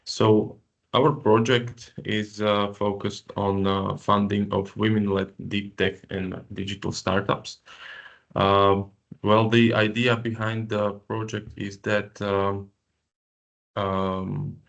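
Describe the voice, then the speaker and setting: A man's voice: fairly low pitch, vocal fry. A man from Slovakia presenting as project coordinator in an online info session, hesitant with many fillers. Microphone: video call.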